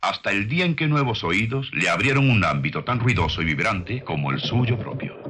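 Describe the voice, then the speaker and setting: A man reading scripted documentary narration with measured, dramatic storytelling delivery, on an old film soundtrack. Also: on the low side, expressive, very noisy.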